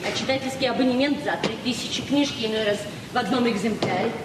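An actress playing a modest, middle-aged provincial librarian, plain-spoken and frank, in a 1970s Soviet radio drama. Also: female voice, fairly high pitch, fast, lightly acted. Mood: weary, chatty. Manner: plain-spoken, apologetic, talkative. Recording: noisy old radio recording, echoey, distant.

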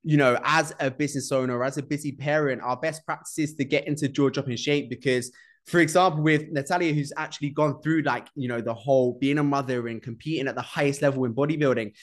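A man's voice: mid-range voice, fairly even intonation, fast. A man hosting a podcast, introducing his guest in a friendly, encouraging way. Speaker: podcast host.

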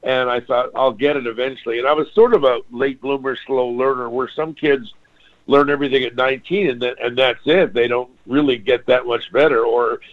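Older Hawaii-raised guitarist, dull lo-fi sound. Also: male voice, mid-range voice, steady tone, a little fast. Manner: rambling, frequent restarts and apologies. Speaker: guitarist guest. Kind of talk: relaxed interview storytelling.

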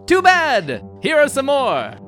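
A woman's voice: sits high, wildly swooping pitch, takes it slowly, fairly bright tone, soft background music, exaggerated voice. A woman playing a playful, wry announcer who narrates an adventure radio serial in a showy way.

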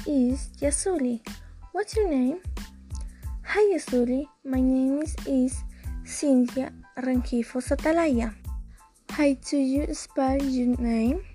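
A woman's voice: sits high, a little mellow. A woman, an English learner carefully reciting rehearsed practice dialogues and spelling names out letter by letter. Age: 20s.

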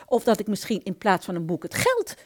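Woman acting older female pensioner, radio play, fussy, hesitant, stammering, apologetic, persistent, lively intonation. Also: medium pitch, brisk. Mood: pleading.

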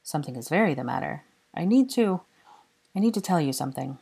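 Audiobook role: woman as exasperated, lovesick young man, husky, impassioned, sardonic, stumbling over his declarations. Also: low voice, lively intonation, quick pace, darkish tone, full character voice. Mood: nervous, determined. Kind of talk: dramatic audiobook character dialogue.

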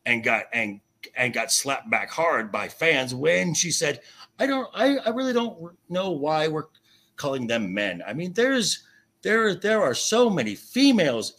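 A man with a raspy voice in a heated pop culture discussion, insistent and halting, often repeating himself. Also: mid-range voice, big pitch swings, fairly bright tone. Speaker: podcast guest. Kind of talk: heated opinionated film and TV discussion.

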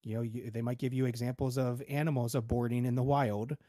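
A man calmly explaining ideas with examples in a casual podcast panel talk. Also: mid-range voice, quick pace, dry recording, clean studio sound. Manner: calm, explanatory, gives examples.

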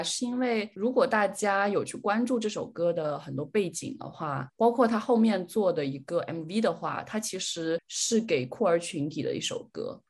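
A woman's voice: lowish voice, breathy edge. Chatty, giggly young-sounding woman podcaster. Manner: giggly, enthusiastic fan talk. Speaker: podcast host. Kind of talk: casual chat among friends.

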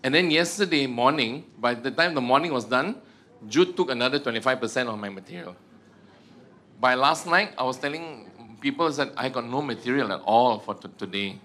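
A man's voice: medium pitch, lively intonation, brisk, a bit bright. A man with a Malaysian accent giving a humorous teaching talk to a church group, joking at his own expense and tagging sentences with "ah" and "huh". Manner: joking, self-mocking, tags sentences with "ah" and "huh".

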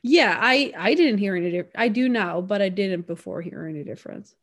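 A young woman with a Swedish accent chats casually as a podcast co-host. Her voice sits at a middle pitch, and she talks in loose, chatty runs, often slipping into lively quotes of what others said. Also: fairly quick. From Sweden. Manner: chatty, quotes people in animated bursts, laughs often. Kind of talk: casual chat.

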